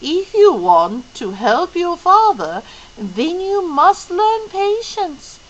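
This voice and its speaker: A woman's voice: extremely high pitch, sing-song, slow pace, highs cut off, pitched above the performer's own voice, full character voice. A woman reading aloud and voicing a serious, solemn old female being who teaches patience.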